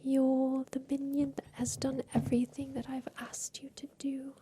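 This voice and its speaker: A woman playing an aging, wistful inventor confined in a haunted attic, whispering softly and confidingly in an ASMR roleplay about revenge. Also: high-pitched, monotone, noisy background, lightly acted. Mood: conspiratorial, pleased. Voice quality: soft breathy whisper. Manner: slow, wistful, confiding, halting.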